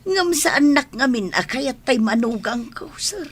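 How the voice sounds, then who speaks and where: A woman as a husky, humble older maid. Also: high voice, animated, measured pace, full character voice. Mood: plaintive. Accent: Filipino, Ilocano. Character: humble, aggrieved older maid, a mother of grown children. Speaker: radio drama actor. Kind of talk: Ilocano radio drama acting.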